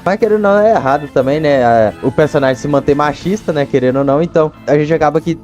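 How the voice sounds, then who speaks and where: A man's voice: fairly high pitch, fast talker, darkish tone, soft background music. A young man with a Brazilian accent giving casual, slangy, chatty commentary as a video host.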